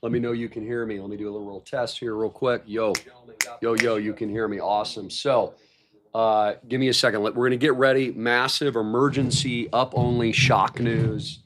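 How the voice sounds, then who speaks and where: A man hosting a livestream, his voice hoarse from a recent cold, talking in an excited, repetitive way about market news. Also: mid-range voice, normal speed, noisy background. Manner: hyped, repeats words like massive and shocking. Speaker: livestream host.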